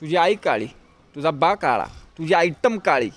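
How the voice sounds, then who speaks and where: A man playing a cheeky village guy in a comedy sketch, with a Marathi accent. His voice sits a bit high for a man, and there is clear noise around him in what sounds like amateur video. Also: expressive, normal speed, natural voice. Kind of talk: acted comedy sketch dialogue.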